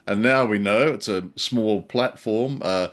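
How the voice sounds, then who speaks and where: A man with a fairly low voice chats as a co-host in a casual review. His delivery is wry and dryly humorous, with halting, hesitant phrasing. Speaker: podcast co-host.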